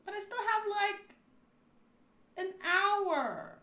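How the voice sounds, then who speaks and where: A young woman chatting casually with viewers during a live game playthrough, animated and jumping between loud outbursts and mumbled asides. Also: extremely high pitch, very slow, reverberant. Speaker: streamer.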